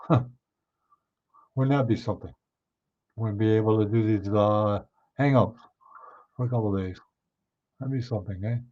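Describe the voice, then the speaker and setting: A man hosting a livestream, chatting casually with viewers and answering their comments, his voice a bit hoarse. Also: lowish voice, steady tone, normal speed, pauses often, mellow. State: hoarse, clearing his throat. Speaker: livestream host. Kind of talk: casual chat with live viewers. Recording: somewhat dull, lo-fi stream audio.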